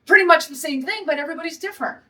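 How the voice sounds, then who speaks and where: Brassy Detroit woman, high and distant. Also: very fast, sharp tone. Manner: brassy, blunt and indignant. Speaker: restaurant owner. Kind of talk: press conference statement. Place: restaurant.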